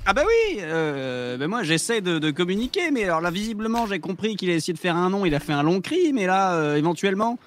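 High-voiced man chatting at a game table. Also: big pitch swings, brisk, few pauses. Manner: rambling and hesitant, swears casually, laughs often. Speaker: player. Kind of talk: casual tabletop roleplay chat. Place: home streaming setup.

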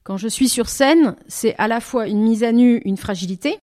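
A woman singer answering interview questions in a thoughtful way, pausing and rephrasing often as she searches for words. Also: a little high, dark tone. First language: French. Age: over twenty. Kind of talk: reflective interview talk.

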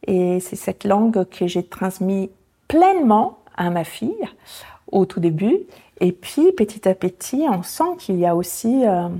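Italian-accented woman, mellow, hesitant. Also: mid-range voice, sing-song, unhurried, breathy edge. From Italy. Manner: reflective, many hesitations with euh. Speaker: guest, founder of a language association. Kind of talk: conversational interview.

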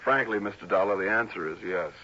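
A man's voice: low voice, quick pace, bright, forceful tone. An actor playing a genial, glib American man in his twenties, hosting in mid-century radio drama style. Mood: smooth, frank. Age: 20s. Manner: chatty, hospitable, glib. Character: glib, genial young nephew hosting a party, smooth talker. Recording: dull, hissy old radio recording.

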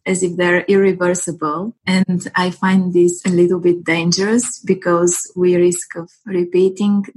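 A woman of about thirty from Bulgaria, speaking in a measured, articulate way. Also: fairly low pitch, flat delivery, darkish tone, breathy edge, low-quality recording. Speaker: panel guest. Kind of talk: considered discussion.

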